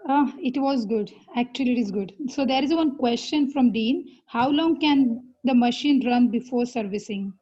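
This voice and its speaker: A woman's voice: fairly high pitch, moderate pace, few pauses, mellow, breathy edge, highs cut off. A woman moderating a question round in an online workshop, speaking hesitantly with many fillers.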